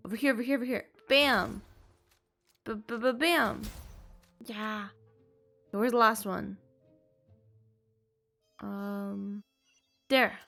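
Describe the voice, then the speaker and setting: A woman's voice: mid-range voice, fairly even intonation, slow, gravelly, pauses often, bright, forceful tone, faint music behind. A woman casually chatting to viewers while she plays a video game, exclaiming and making playful little sound effects. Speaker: streamer playing a video game. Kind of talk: casual live gameplay commentary to viewers.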